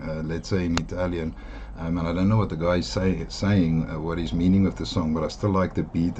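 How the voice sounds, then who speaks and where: A South African man whose first language is Afrikaans, giving a casual earphone review in a halting way, full of fillers and restarts, with enthusiastic asides. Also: deep voice, a little mellow, slight background noise.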